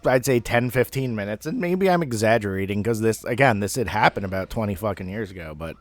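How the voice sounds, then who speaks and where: A man in his thirties telling a personal story casually, in a rambling, halting way with some swearing. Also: on the low side, expressive, no echo. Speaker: amateur storyteller.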